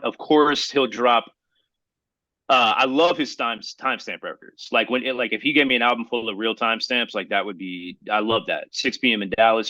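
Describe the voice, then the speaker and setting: A man's voice: on the low side, pushed and bright, dry recording. A man hosting a casual hip-hop podcast debate, speaking in an emphatic, slangy way and repeating himself for emphasis. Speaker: podcast host.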